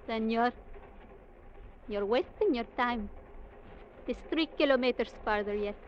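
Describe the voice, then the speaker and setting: An actress playing a proud, sharp-tongued young woman, haughty and challenging, in old-time radio drama style. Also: on the high side, a little slow, lightly acted. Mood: knowing. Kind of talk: 1940s-50s radio drama acting. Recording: muffled, noisy vintage radio recording.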